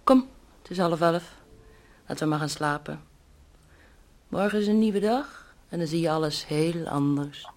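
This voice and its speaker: An actress playing a complacent, homely housewife in a radio play, breezy and dismissive of big worries. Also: female voice, deep voice, a little slow, pauses often, a little mellow. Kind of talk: radio play acting, with verse set to piano music.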